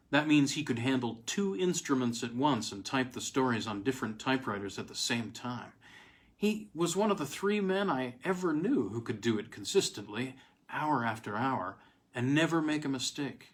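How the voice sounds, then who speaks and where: Guy reading an old spooky story, medium pitch, dramatic pauses, leans on key words. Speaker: reader of vintage weird fiction. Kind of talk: dramatic first-person story narration.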